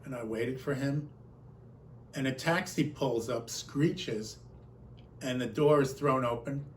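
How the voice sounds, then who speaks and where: A man speaks as a conference storyteller, relaying a personal anecdote and its dialogue in an expressive way. His voice sits at an average pitch for a man. There is audible noise behind him in the recording. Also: slow pace. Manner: animated storyteller, acts out quoted exchanges.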